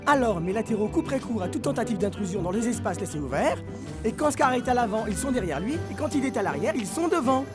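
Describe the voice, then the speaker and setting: A man's voice: very high voice, expressive, quick pace, over music. A man playing an eager, fussy assistant football coach in a French cartoon dub. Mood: eager, confident.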